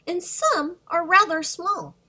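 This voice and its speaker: A woman's voice: very high-pitched, takes it slowly, pushed and bright, a little hiss or hum. A woman speaking simply and encouragingly to children as an informal story time reader and teacher. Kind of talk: informal talk and storybook read-aloud for children.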